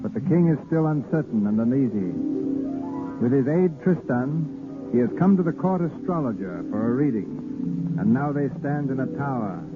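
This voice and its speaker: A man's voice: mid-range voice, animated, normal speed. A man narrating a scripted 1930s radio drama as its announcer. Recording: tinny 1930s radio, loud music behind.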